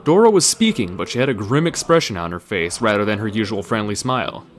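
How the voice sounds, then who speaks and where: A man narrating a horror story aloud in a theatrical, deliberate dramatic-reading style. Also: on the low side, very animated, a little hiss or hum.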